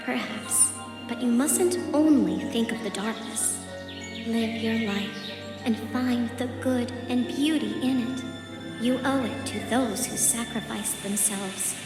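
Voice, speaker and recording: A woman's voice: on the high side, slow. Serene, echoing female guide. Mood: consoling. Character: serene, cryptic female guide, wise and consoling. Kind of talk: video game character acting. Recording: echoing reverb, loud music behind.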